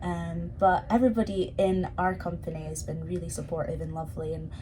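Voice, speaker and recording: A woman's voice: lowish voice, level tone, a little fast, noisy background. A woman who performs in pantomime, answering questions in a casual, chatty way.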